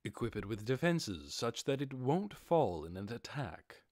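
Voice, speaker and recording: A man voicing a composed lordly master in an audiobook, measured, formal and authoritative as he keeps up a commanding front. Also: medium pitch, sing-song, a little mellow, no room sound, studio quality. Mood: calm, authoritative. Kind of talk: audiobook character voice.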